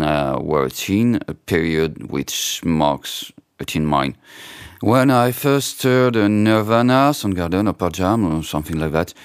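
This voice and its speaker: A man from France with a strong French accent, answering podcast questions in halting, joking English. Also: on the low side, clear, resonant tone, dry recording, studio quality. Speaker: guest musician.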